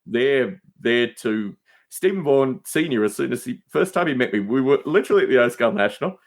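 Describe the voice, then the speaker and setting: Male podcast guest, average pitch, conversational digressive storyteller, emphatic asides. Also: animated, a little fast. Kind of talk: casual anecdotal chat.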